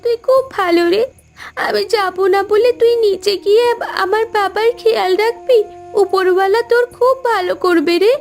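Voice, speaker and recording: A very high-pitched young wife and daughter, anxious and soft-hearted. She speaks with pleading emotion over faint music. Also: moderate pace, vocal fry. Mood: grateful, worried. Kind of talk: Bengali cartoon voice acting.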